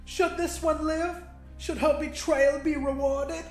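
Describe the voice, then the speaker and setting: A man's voice: extremely high pitch, fairly even intonation, takes it slowly, pitched above the performer's own voice. A man voicing a pompous, theatrical shadow monster, a gleeful villain in a horror audiobook. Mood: mock concern. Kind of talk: dramatic horror audiobook character voice. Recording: roomy echo with faint music.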